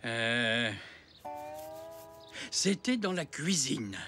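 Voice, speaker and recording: A man playing a kindly, flustered older zebra grandfather in a French children's cartoon, speaking hesitantly with expressive sighs and a French accent. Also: medium pitch, drawn-out words, a little hiss or hum, heavily acted. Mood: flustered, rueful. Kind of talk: animated children's cartoon dub. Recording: music behind the voice.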